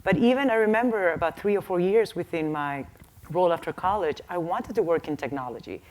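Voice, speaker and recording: A woman's voice: lowish voice, animated, a little fast, a little mellow, rough recording. A woman on a conference panel, a tech manager who came as an international student, giving direct, encouraging advice. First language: not English. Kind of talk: panel discussion.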